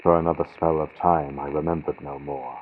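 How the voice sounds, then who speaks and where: A man reads a gothic horror story as a dramatic audiobook narrator, with theatrical, suspenseful, deliberate phrasing and pauses for effect. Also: rumbling low, flat delivery. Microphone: built-in computer microphone. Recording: dull lo-fi computer mic, vintage-radio sound, loud noise. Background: loud noise and storm-like ambient sound effects.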